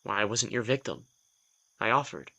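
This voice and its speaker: An actor playing a reluctant young male vampire in an audio drama, terse and quick to snap. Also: lowish voice, lively intonation, vocal fry, pushed and bright, exaggerated voice.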